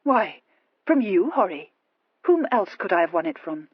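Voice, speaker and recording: A woman voicing a suave, unruffled English earl with a measured, dryly amused manner in an audiobook story. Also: mid-range voice, slightly rough, no room sound, lo-fi sound. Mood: gently amused. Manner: calm, measured, dryly understated.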